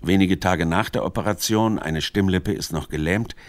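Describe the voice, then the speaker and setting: A man's voice: sits low, normal speed, no room sound. A man reading scripted narration for a literary radio documentary.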